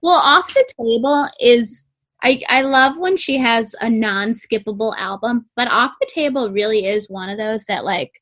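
A young woman with a sweet, squeaky voice, chatting casually about music and peppering her talk with "like". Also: normal speed, a bit bright. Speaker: co-host reviewing music. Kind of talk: casual chat.

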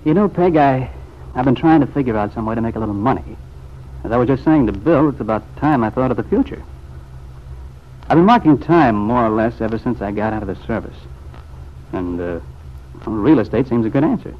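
An actor playing a teasing, easygoing young American bachelor cousin in an old-time radio serial. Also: male voice, medium pitch, lively intonation, fairly quick, long pauses, lightly acted. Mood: earnest, musing. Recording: muffled, noisy vintage radio recording.

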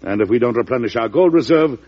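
Resolute President, husky, swinging oratorical delivery, classic radio drama on a muffled old recording. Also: male voice, medium pitch, lively intonation, quick pace. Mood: urgent. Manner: emphatic, oratorical. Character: resolute, worried U.S. President, weighty and principled.

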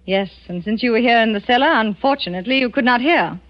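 An actress playing a cool, quietly vengeful French resistance woman in a 1940s radio drama, poised and deliberate. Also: fairly high pitch, natural voice. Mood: cold, defiant. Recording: dull 1940s radio broadcast recording.

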